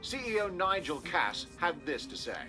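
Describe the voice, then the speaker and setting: An actor playing a formal, crisp male news announcer reading a scripted report in a video game. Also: on the high side, sing-song, moderate pace, music in the background.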